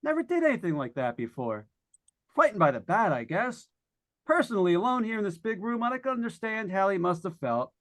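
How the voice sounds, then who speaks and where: A man plays a down-to-earth skeptic reporting from a haunted house in a radio suspense drama. His pitch moves around a great deal. He talks informally and haltingly, with a jumpy edge. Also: very animated, fairly bright tone. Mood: uneasy. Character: plain-spoken skeptical male broadcaster exploring a haunted house. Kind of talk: live old-time radio suspense acting.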